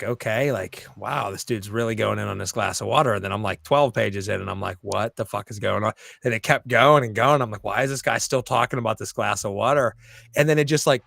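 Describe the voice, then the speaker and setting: A man's voice: on the low side, brisk, vocal fry, fairly bright tone, dry recording. A man hosting a podcast in a casual chat, telling stories, swearing freely and acting out his own reactions. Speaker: podcast host.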